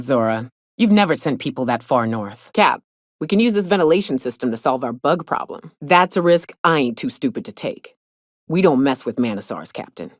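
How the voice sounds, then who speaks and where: An actress playing a gruff, hard-drinking female monster hunter in a video game, sardonic and blunt, with a loose, earthy drawl. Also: sits low, dry recording, studio quality. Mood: cool, matter-of-fact. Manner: sardonic, blunt, swaggering, colloquial swearing. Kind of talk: video game character dialogue. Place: close, dry studio recording.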